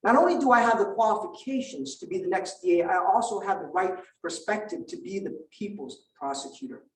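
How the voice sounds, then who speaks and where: High-voiced man making an emphatic campaign speech. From Vietnam. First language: Vietnamese. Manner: emphatic, rhetorical lists with measured pauses. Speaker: political candidate at a forum. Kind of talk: campaign speech and forum answer. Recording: roomy hall, distant mic.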